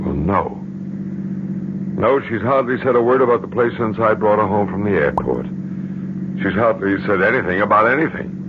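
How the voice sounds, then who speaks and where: An actor in a radio drama playing a worried middle-aged father, earnest and halting as he asks questions. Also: fairly low pitch, expressive, close to an everyday voice. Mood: troubled. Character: worried, probing father of a grown daughter. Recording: tinny, noisy old radio recording.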